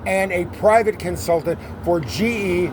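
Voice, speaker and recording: A man's voice: sits high, a little slow, a crowd in the background. A man outdoors pleading urgently and swearing often while talking to an outreach worker. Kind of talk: unscripted street conversation. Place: outdoors on a city street. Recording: street noise, traffic outdoors.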